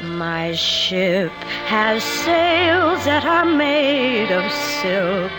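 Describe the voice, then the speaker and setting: Guarded, wistful career woman, high-pitched voice, theatrical vintage radio delivery with orchestral music behind. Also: big pitch swings, drawn-out words, full character voice, low-quality recording. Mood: tender singing. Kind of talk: 1950s radio drama acting with slow theatrical singing.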